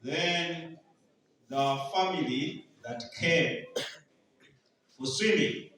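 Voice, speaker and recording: A man's voice: a little high, extremely slow, sharp tone, slight background noise. A Ugandan man preaching a sermon, often asking the congregation to finish his sentences in a call-and-response style. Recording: echoey hall, voice from far off.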